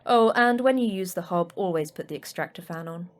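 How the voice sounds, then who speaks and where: A woman's voice: lowish voice, fairly quick, close to an everyday voice. A woman acting a curt, sardonic station manager. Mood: brusque, dry. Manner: curt, dry, sarcastic. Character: terse, sardonic woman managing a secret Antarctic facility. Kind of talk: audio fiction acting.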